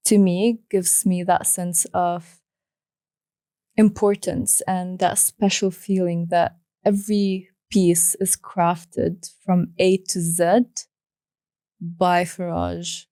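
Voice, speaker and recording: Young female interviewer, low mellow voice, dry recording, thoughtful questions. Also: little pitch movement, slow pace, no room sound. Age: young adult. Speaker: interview host. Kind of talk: casual interview conversation.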